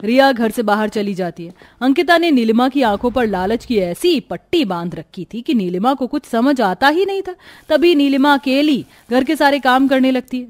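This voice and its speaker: A woman's voice: fairly high pitch, a little mellow, no room sound. A woman narrating a scripted moral story as a storyteller.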